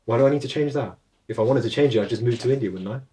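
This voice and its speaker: A man's voice: mid-range voice, very fast, warm tone, slight background noise. A young man from England, chatting casually as a podcast co-host and rambling with restarts as he searches for words. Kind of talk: casual chat.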